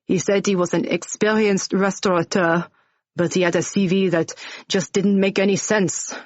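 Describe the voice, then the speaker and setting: A woman voicing a soft-spoken French older man. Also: medium pitch, fairly even intonation, moderate pace, no room sound, lo-fi sound, natural voice. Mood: dismissive. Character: genial wealthy bar owner, man in sixties.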